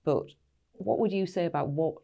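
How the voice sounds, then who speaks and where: A woman hosts a podcast and asks her guests thoughtful questions in a measured way. Her voice is very low for a woman, with a dark, mellow tone. She leads the conversation calmly. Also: gravelly. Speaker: podcast host. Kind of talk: interviewing guests.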